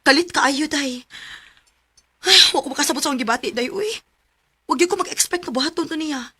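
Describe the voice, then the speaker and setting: A woman's voice: high-pitched, a little fast, sharp tone, no room sound. A woman playing a lovestruck, hesitant young woman confiding in a Cebuano Filipino accent in a radio romance drama. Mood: bewildered.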